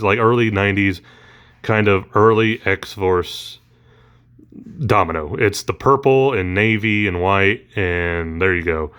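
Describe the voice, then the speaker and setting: A man's voice: on the low side, a bit bright, clear, resonant tone. A man talking casually through a toy unboxing, rambling with lots of ums and uhs, his voice recently back after allergies.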